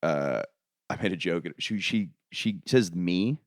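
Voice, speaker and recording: A man's voice: slow, dry recording, studio quality. A man from Florida hosting a casual comedy podcast, telling stories and mimicking people. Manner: animated storytelling, jumps high to mimic people. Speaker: podcast host.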